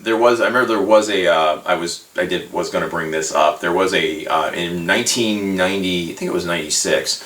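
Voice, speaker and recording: A man giving a casual, rambling hobby commentary as a video host, halting and informal, often circling back as he talks. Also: low voice, pushed and bright. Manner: halting, hesitant, meandering, informal. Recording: roomy echo, distant microphone.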